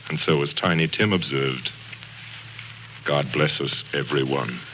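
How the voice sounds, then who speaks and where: A man's voice: extremely low pitch, measured pace, very noisy. A man narrating a story in a solemn, theatrical 1930s radio drama style. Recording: old radio broadcast, music behind.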